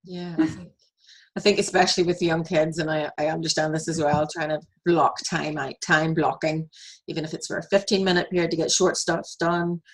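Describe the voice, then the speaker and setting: Low-voiced chatty woman host. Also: steady tone, fairly quick, low-quality recording. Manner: chatty, with frequent restarts and laughs. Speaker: podcast host. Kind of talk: conversational interview.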